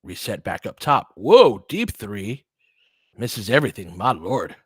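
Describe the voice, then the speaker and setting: A man's voice: lowish voice, very animated, measured pace, fairly bright tone, slightly rough, no echo, clean studio sound. A man doing live basketball play-by-play in short clipped phrases, with casual, partisan asides like a fan commentator.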